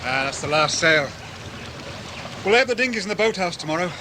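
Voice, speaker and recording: Genial sailing father, high voice, brisk teasing manner, water noise behind. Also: lively intonation. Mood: fond. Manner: brisk, hearty, gently teasing. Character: genial father teaching his children sailing, calling orders. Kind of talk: radio play acting. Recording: noisy outdoor water and boat sounds.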